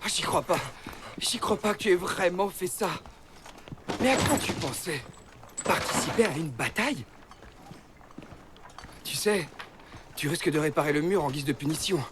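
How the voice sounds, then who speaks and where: Animated-film recruit, acted by a man: high, swooping male voice, fretful and exclaiming, noisy soundtrack. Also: normal speed, long pauses, lightly acted. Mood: exasperated, worried. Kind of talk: animated film French dub acting.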